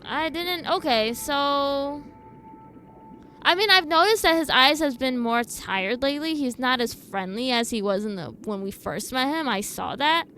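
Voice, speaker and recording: A woman's voice: sits high, expressive, unhurried, sharp tone, a little hiss or hum. A woman streaming a game, giving casual, informal reaction commentary as she thinks aloud in a skeptical way.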